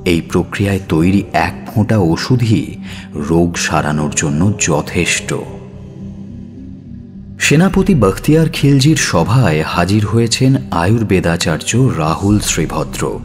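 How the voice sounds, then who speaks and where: A man narrating a scripted audio story in a measured, dramatic style. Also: low voice, lively intonation. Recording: music bed under narration.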